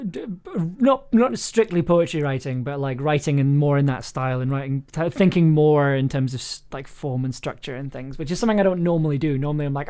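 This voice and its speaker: The host of a livestream chatting casually about writing and composing, often stammering over repeated words and quick to laugh. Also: mid-range voice, darkish tone. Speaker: audio drama writer and composer, host of livestream.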